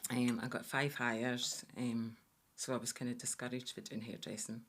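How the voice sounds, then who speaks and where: A Scottish woman from Fife, around sixty, chatting warmly about her past as a guest on a podcast, with a lot of "aye" and "uh-huh.". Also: rumbling low, level tone, moderate pace, a little hiss or hum. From Scotland. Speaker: guest, veteran hairdresser. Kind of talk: casual interview chat.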